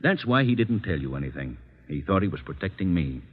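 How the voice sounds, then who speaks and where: Deep, grave older politician. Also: male voice, big pitch swings, a little fast. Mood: troubled. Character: earnest, dignified older male politician and former judge. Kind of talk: 1940s radio acting. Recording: dull old radio broadcast recording.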